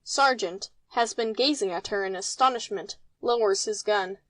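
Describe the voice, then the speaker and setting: A woman's voice: mid-range voice, normal speed, a bit bright, dry recording. A woman reading out a play's stage directions as scripted narration.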